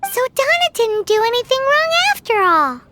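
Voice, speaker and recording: A woman voicing a tiny, childlike, excitable and cheeky fairy companion in a video game, with a squeaky voice. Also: normal speed, background noise. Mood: curious, excited. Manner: speaks of herself in the third person, exclaiming. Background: prominent playful music.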